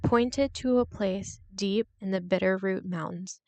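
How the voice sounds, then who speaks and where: A woman narrating a scripted suspense story in a measured, deliberate way, with short dramatic pauses. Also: mid-range voice, heavy background noise.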